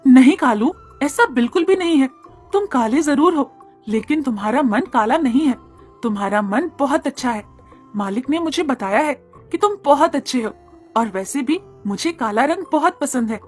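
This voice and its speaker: A woman voicing a gentle, friendly young cow in a cartoon story, with a pleading, coaxing manner. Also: high-pitched, animated, normal speed, soft background music. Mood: coaxing, tender.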